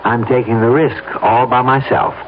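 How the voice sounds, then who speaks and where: A man's voice: medium pitch, flat delivery, normal speed, lightly acted. An American actor playing a scheming, persuasive city official in theatrical old radio drama style, pressing his case insistently. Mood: confident scheming. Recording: noisy, tinny old radio broadcast.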